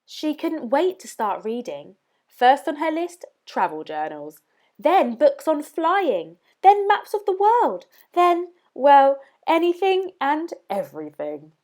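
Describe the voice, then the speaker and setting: A woman reading a children's story aloud as narrator, with expressive, dramatic emphasis. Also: high-pitched, takes it slowly.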